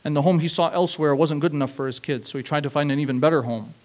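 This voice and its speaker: A man in his thirties telling a personal story at an open mic on a small stage, reflective and measured, leaning on emphatic repeated phrases, speaking into a handheld mic. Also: a little high, darkish tone. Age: 30s. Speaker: spoken word performer. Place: small stage, seated on a stool.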